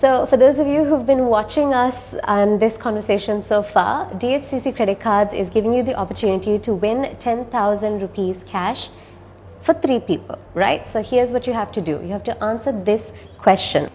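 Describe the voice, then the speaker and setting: A woman's voice: fairly high pitch, normal speed, darkish tone, slight background noise. A woman hosting an interview show, politely asking questions and reading out a contest. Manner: polite, scripted host sign-off. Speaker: show host.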